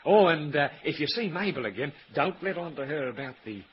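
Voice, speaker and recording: A man's voice: a little high, animated, a little fast, slight background noise, close to an everyday voice. Man playing a laughing young Australian country bloke. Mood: eager. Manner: laughing, eager and naive. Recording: dull old 1930s radio recording.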